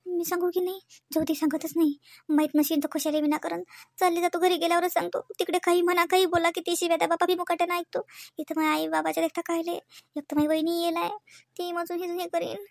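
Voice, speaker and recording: An extremely high, dry-sounding young wife from a comedy cartoon, acted by a woman, with a Vidarbha Marathi accent. She is nervous and flustered, hesitating and muttering her worries to herself. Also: little pitch movement, fast, gravelly, dry recording. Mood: anxious muttering.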